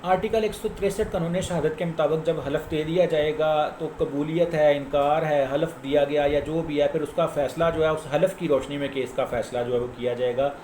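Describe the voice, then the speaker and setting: A Pakistani man with a slightly high voice teaches in an echoey room with faint noise behind him. He explains in an informal, didactic way, like a lawyer walking listeners through the rules, and restates his main points. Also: fairly even intonation, fairly quick, few pauses, darkish tone. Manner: didactic, repeats key points for emphasis. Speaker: lawyer giving a legal lecture. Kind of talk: informal explanatory lecture citing case law.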